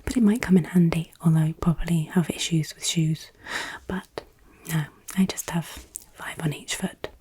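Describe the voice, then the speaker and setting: An English woman from Yorkshire whispering softly in an ASMR Q&A, teasingly repeating her questions. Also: sits low, steady tone, normal speed, slight background noise. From England, Yorkshire. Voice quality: soft unvoiced whisper. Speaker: ASMR creator.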